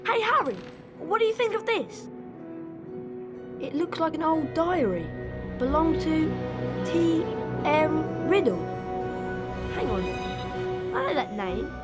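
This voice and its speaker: A woman's voice: high-pitched, sing-song, slow, pauses often, loud music behind the voice, exaggerated voice. A woman playing an eager, chatty schoolboy in a video game. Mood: chatty, curious. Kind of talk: video game cutscene acting.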